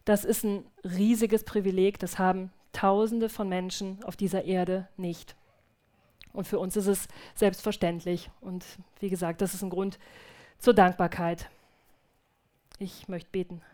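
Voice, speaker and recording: A woman back from mission work who speaks earnestly and reflectively at a church service, shares a testimony with hesitant restarts, then prays quietly. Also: mid-range voice, flat delivery, unhurried. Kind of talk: church testimony followed by spoken prayer.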